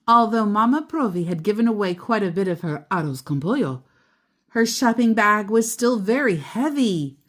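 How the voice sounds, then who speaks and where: A woman reading a children's picture book aloud expressively as a storyteller, with deliberate, dramatic emphasis on descriptive words. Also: mid-range voice, expressive.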